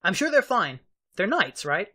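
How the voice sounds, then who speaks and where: A woman's voice: medium pitch, big pitch swings, moderate pace, pushed and bright. A woman playing a wry young male tactician, casual and sarcastic, in a fantasy audio drama. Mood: casual, uneasy.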